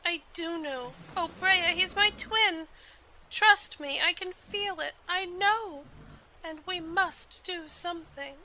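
A woman's voice: very high-pitched, takes it slowly, pushed and bright, slight background noise, heavily acted, poor audio. A woman playing a headstrong young noblewoman in an audio drama, with an earnest, emphatic delivery. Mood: urgent, worried. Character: headstrong young noblewoman, anxious for her twin brother.

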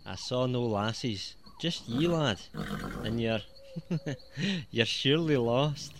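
An actor playing a sly, mocking highwayman, taunting with false courtesy in an audio drama. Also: lively intonation, slow pace, noisy background. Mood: mock-polite. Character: sly, mocking male highwayman lurking in moorland fog.

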